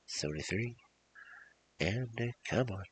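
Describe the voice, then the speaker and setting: A man with a gravelly, husky voice hosting a storytelling show, reading fairy tales in a theatrical, emphatic, rhythmic way. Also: fairly low pitch, lively intonation, measured pace, slight background noise. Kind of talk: animated performed fairy-tale reading with casual asides.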